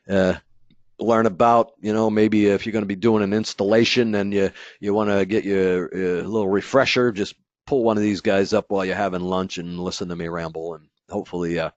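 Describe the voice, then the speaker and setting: Low-voiced man rambling through training. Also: no room sound. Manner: casual, rambling, many fillers and restarts; acts out an installer's inner thoughts. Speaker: company product trainer. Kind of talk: dealer training webinar. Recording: dry, slightly dull webinar audio.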